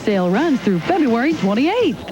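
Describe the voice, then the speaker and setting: A woman's voice: on the high side, big pitch swings, normal speed. A woman reading a scripted retail commercial as a radio announcer. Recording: dull lo-fi radio ad with music. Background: music bed.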